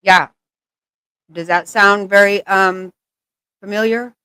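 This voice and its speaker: A woman's voice: medium pitch, fairly even intonation, slow pace, bright, forceful tone, clean tone, dry recording, clean studio sound. A woman giving blunt, exasperated reaction commentary, swearing often. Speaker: channel host reacting to clips.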